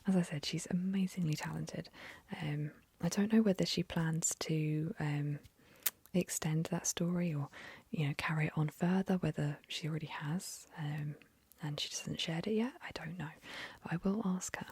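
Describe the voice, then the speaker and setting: A young woman whispering an ASMR story reading into a close mic, softly, with gentle fillers. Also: sits low, moderate pace, slightly rough, no echo. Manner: soft whisper, chatty with fillers after the story.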